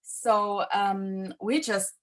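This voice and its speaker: Woman director, 30s, English, halting answers full of fillers. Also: medium pitch, fairly even intonation, a bit bright. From Finland. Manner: halting, many fillers and restarts. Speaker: film director. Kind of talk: festival interview, casual answers.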